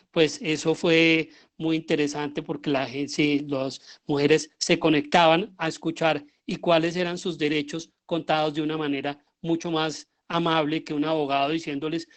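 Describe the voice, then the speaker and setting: A middle-aged Colombian man speaks as a guest lecturer. His delivery is informal and reflective, with pauses as he looks for the right word. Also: on the high side. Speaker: guest lecturer, theatre and radio drama director.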